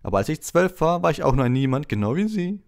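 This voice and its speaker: Guy doing a self-satisfied wizard teacher for an audiobook, way up high, gushy and patronizing. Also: big pitch swings, quick pace, gravelly. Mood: smug, patronizing. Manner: gushing, condescending. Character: vain, patronizing, self-satisfied celebrity wizard professor, male. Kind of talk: audiobook character voice.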